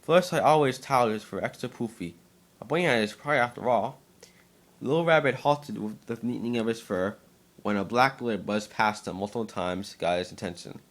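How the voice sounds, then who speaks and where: A man's voice: fairly low pitch, moderate pace. A man narrating a scripted story as an amateur dramatic reading, sometimes stumbling over and repeating words.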